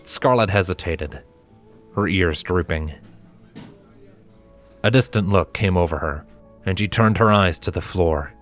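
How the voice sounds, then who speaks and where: Low-voiced man narrating a story. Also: measured pace, pauses often. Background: music.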